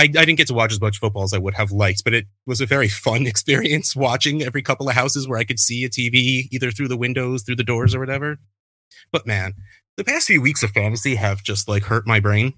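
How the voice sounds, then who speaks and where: A man in his thirties, a fantasy football podcaster, chatting casually and telling animated stories with a self-deprecating streak. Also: mid-range voice, a little fast, no echo.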